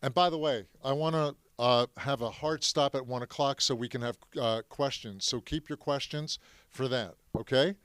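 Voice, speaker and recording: A man whose first language is French, telling his family's Holocaust story to a live audience in a lively way, often asking the crowd questions. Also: medium pitch, normal speed, clean tone, no room sound, clean studio sound.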